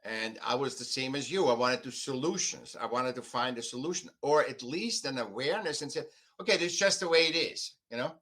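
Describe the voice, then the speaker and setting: An older man from Austria with an Austrian accent, telling his own story conversationally as a podcast guest in a halting, emphatic way. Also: medium pitch, fairly bright tone. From Vienna, Austria. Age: 50s or older. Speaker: podcast guest, artist and author.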